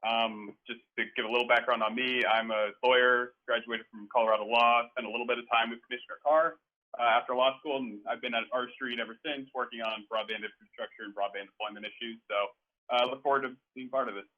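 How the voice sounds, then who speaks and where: A man introduces himself briefly to a committee, friendly and brisk, with a few fillers. He is a lawyer. His voice comes over a tinny conference line. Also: medium pitch, little pitch movement. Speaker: lawyer, new committee member.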